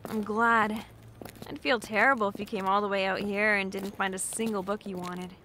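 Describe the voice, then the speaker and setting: A woman's voice: mid-range voice, fairly even intonation, moderate pace, bright, forceful tone, close to an everyday voice. Actress as hesitant young woman over background noise. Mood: friendly, awkward. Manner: hesitant, self-deprecating, casual. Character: anxious, apologetic young bookshop clerk, woman. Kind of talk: naturalistic audio drama acting.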